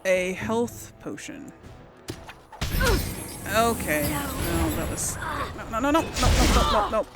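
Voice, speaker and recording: A woman's voice: fairly high pitch, sing-song, takes it slowly, long pauses. A woman giving casual game commentary, thinking aloud and chatting to her viewers. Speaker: gamer hosting a let's play. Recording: game music behind the voice. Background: video game music.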